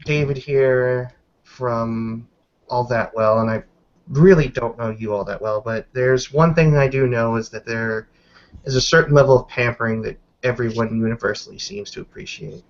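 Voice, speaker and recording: A man's voice: medium pitch, moderate pace, close to an everyday voice. A man playing a sheepish, friendly female werewolf in a roleplaying game, speaking hesitantly. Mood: tentative, kindly. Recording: noisy lo-fi mic with clicks.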